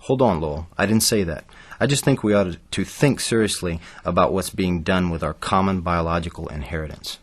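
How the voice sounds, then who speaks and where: An actor playing an earnest, preachy activist author in an audio drama. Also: male voice, deep voice, brisk, breathy edge. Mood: earnest, urgent. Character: earnest male activist author, alarmed and self-promoting.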